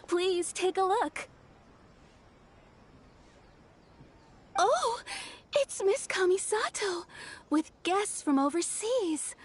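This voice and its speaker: A woman playing a polite, deferential kimono shopkeeper in a video game, speaking formally. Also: extremely high pitch. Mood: welcoming. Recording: game music behind the voice.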